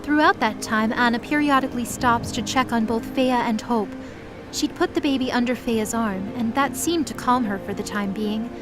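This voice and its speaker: A woman's voice: a little high, moderate pace, over music. A woman narrating a scripted audio drama in the present tense as its storyteller.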